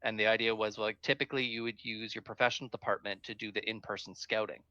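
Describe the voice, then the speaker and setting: Bright-voiced man reading hockey notes. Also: lowish voice, fairly even intonation, fast talker, dry recording, lo-fi sound. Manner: chatty, reads bullet notes aloud then comments. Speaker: co-host. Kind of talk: casual podcast chat, reading news notes.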